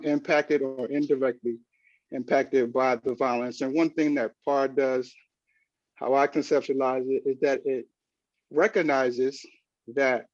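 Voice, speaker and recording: Panelist: a man with a halting, filler-heavy delivery, heard through a dead, dull line. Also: mid-range voice, darkish tone, dry recording. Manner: halting, many filler words. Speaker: panelist, academic. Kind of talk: panel discussion. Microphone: video call.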